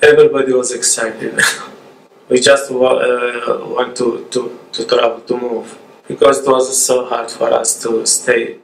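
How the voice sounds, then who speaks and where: Slow, flat-voiced man, distant mic. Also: medium pitch. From Iraq. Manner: halting, hesitant delivery. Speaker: immigrant interviewee. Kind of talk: documentary interview. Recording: roomy room, distant mic, faint noise.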